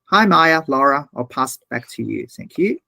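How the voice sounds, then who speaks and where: A man presents slides in a webinar, speaking earnestly in a halting way full of fillers and checks with the listener. His voice is mid-pitched. The sound is dry and close, as on a video call. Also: big pitch swings, creaky, sharp tone, dry recording. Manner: earnest, halting, with frequent "okay?" and "uh". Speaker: university international development manager.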